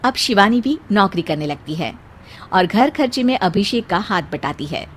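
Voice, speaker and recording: A woman's voice: medium pitch, expressive, normal speed, slight background noise. A woman delivering scripted storytelling narration for cartoon family moral stories.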